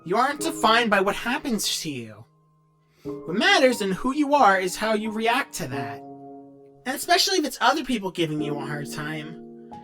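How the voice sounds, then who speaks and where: A woman's voice: medium pitch, sing-song, moderate pace, a bit bright, faint music behind. A woman voicing a young, awkward, kind-hearted character who answers questions in a casual, hesitant, encouraging and rambling way. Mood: gentle, earnest.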